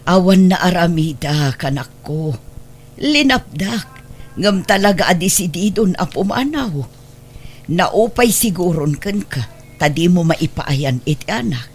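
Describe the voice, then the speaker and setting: A woman's voice: lowish voice, animated, measured pace, breathy edge. A woman playing an older mother and doting grandmother, anxious and remorseful, in an Ilocano-accented radio drama. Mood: sorrowful, consoling. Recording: music bed behind the voice.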